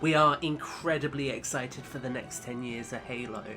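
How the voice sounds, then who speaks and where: A man's voice: medium pitch, moderate pace, bright, forceful tone, soft background music. Earnest man thanking his listeners. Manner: earnest, grateful. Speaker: show creator and host. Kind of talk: sincere thank-you message to listeners.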